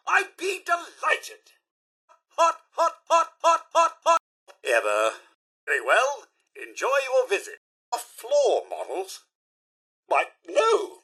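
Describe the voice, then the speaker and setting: Swooping, pompous British robot butler. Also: male voice, on the high side, sharp tone, husky, exaggerated voice. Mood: cheerful, eager, then courteous, salesmanlike. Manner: pompous, florid, theatrically courteous. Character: polite, fussy male robot butler, droll and long-suffering. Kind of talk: video game character voice lines.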